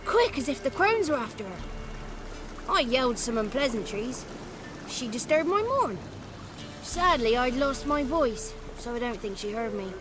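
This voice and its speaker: A woman's voice: on the high side, big pitch swings, a little slow, over music. A woman voicing a cheeky, childlike male swamp creature in a video game, talkative and theatrical, with boastful, florid wordplay. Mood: boastful, animated. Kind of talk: video game character acting.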